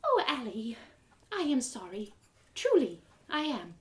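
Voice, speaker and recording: High-pitched, eager little elf. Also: female voice, wildly swooping pitch, a little slow. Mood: sympathetic. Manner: quaint clipped phrasing that drops articles. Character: eager, childlike, giggly elf with quaint broken speech.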